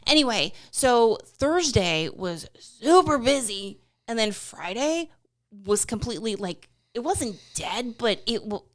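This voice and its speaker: A woman's voice: on the high side, big pitch swings, a little slow. Woman co-host in casual, conversational chat. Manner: conversational, chiming in with agreement. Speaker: co-host reading viewer questions.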